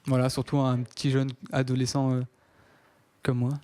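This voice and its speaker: A man's voice: medium pitch, completely flat delivery, moderate pace, dark tone, clean tone. A seventeen-year-old boy, a radio guest, answering questions hesitantly with many fillers. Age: teens. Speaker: interview guest.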